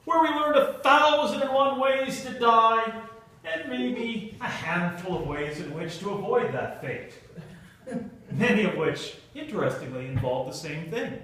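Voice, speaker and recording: A man giving a humorous storytelling speech to an audience in a theatrical manner, with dramatic pauses and stretched emphasis. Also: high-pitched, animated, pushed and bright. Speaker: Toastmasters club speaker telling a humorous tale. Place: indoor meeting room with an audience. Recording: echoey room, recorded from far off.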